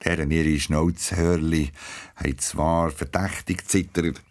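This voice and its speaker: Low-voiced Swiss German storyteller, a man. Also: steady tone, unhurried. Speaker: narrator. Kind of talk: scripted children's story narration.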